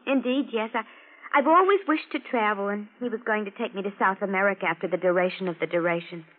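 A woman's voice: medium pitch, quick pace, lightly acted. A woman playing a naive, vain young siren who mixes slang with stilted refinement in a 1940s radio drama. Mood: vain, artless. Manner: gushing slang mixed with stilted genteel phrases. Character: naive, vain working-class femme fatale putting on refinement. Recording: old lo-fi radio drama recording.

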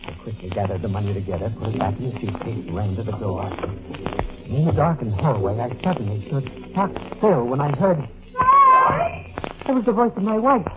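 An actor playing a desperate, unhinged doctor on the run, speaking and narrating intensely in 1940s radio mystery style. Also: male voice, mid-range voice, very animated, normal speed, long pauses, over loud music, lightly acted, rough recording. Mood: terrified. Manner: intense, melodramatic, swinging into near-hysteria. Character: desperate, unhinged fugitive doctor confessing his murders.

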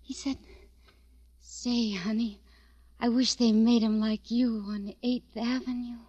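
A woman's voice: a little high, steady tone, slow pace, warm tone, no room sound. An actress playing a fragile, dreamy young woman in love and afraid, speaking with hesitant breathless wistfulness in 1940s radio drama style. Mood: dreamy confession. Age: young adult. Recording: muffled old radio recording.

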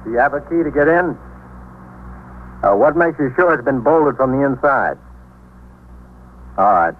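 An actor playing a businesslike American police desk sergeant, clipped and questioning, in a 1950s radio drama. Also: male voice, medium pitch, lively intonation, normal speed, lightly acted. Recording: muffled, noisy 1950s radio recording.

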